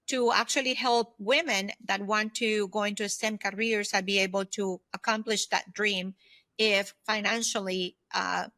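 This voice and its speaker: A woman's voice: medium pitch, pushed and bright, no room sound. A woman in her fifties explaining things earnestly as a guest in a conversational interview. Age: 50s. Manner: earnest, explanatory, often checks in with "right?". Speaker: podcast guest, consultant and former aerospace executive.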